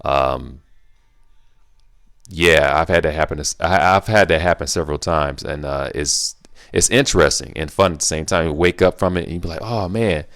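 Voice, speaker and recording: A man's voice: extremely low pitch, normal speed, creaky, a bit bright, no echo, studio quality. A man hosting a casual solo Q&A video, rambling and restarting his sentences in a laid-back, playful way. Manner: rambling, repeats himself, restarts often. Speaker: YouTube Q&A host.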